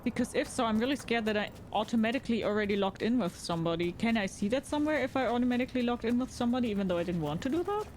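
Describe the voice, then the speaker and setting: A woman's voice: animated, very fast, hardly any pauses, darkish tone, slight background noise. A woman streaming a game, chatting casually with her viewers, asking them questions and reading game text aloud. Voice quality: says her voice is fairly deep. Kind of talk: casual gaming chat with viewers. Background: game music.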